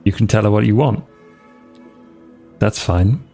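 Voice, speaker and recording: A cocky, devoted man in a tabletop horror game, played by a man with a low, gravelly creak. He talks in clipped, casual phrases, defiant yet sincere, over background music. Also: a little slow, warm tone.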